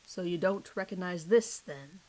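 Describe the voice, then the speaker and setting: A woman's voice: on the low side, moderate pace, background noise, close to an everyday voice. A woman voicing a hard male police detective who questions bluntly and sardonically in an audio horror drama. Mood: probing.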